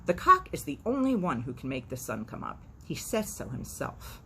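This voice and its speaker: A woman's voice: deep voice, wildly swooping pitch, moderate pace, fairly bright tone, a little hiss or hum, full character voice. A woman voicing an outspoken, silly female barnyard cow in a storybook reading. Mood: puzzled.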